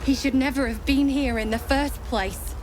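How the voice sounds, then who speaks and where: A woman's voice: high voice, fairly even intonation, normal speed, over music, lightly acted. An actress playing a grieving, devout woman soldier in a fantasy video game, solemn and weary.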